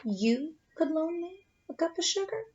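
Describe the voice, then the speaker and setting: Storybook wolf played by a woman: wronged, mock-innocent, high and swooping, slightly muffled recording. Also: a little hiss or hum. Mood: wronged, self-pitying. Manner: expressive, mock-innocent storytelling with drawn-out dramatic words. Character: aggrieved male wolf telling his own side, slyly self-pitying.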